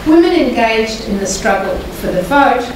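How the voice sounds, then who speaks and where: An older Australian woman with a croaky voice gives a formal public lecture in a measured, deliberate way, pausing often to hesitate. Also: fairly high pitch, animated. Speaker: guest lecturer. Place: large lecture hall. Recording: echoey hall, mic far off, noisy.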